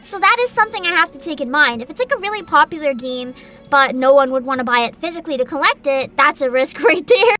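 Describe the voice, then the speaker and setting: A woman's voice: high-pitched, quick pace. A young woman streaming casually, answering chat questions with frequent giggles and a playful mock-boss attitude. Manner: giggly, animated, frequent laughter and restarts; playful mock-CEO boasting. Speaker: vtuber streamer.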